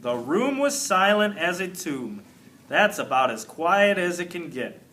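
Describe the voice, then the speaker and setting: A man reading a children's book aloud as an expressive narrator, like a teacher to a class. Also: high voice, unhurried.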